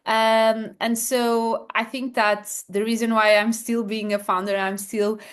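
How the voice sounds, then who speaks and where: A woman's voice: a little high, little pitch movement, a little slow, clear, resonant tone. Hesitant Portuguese-accented woman. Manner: hesitant, many fillers and restarts, laughs softly. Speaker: founder guest. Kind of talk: casual interview answers.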